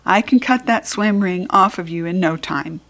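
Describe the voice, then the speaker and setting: A woman's voice: fairly low pitch, moderate pace, a bit bright. A woman voicing a firm, practical mother in a children's storybook, reasoning in a matter-of-fact way. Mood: brisk, firm. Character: firm, practical mother correcting her young daughter.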